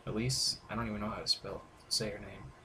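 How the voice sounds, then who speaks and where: A man's voice: fairly low pitch, flat delivery, brisk, bright, forceful tone. A man hosting a gaming video, reading the characters' dialogue aloud in a playful, informal way with some stumbles. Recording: very noisy gameplay recording with clicks.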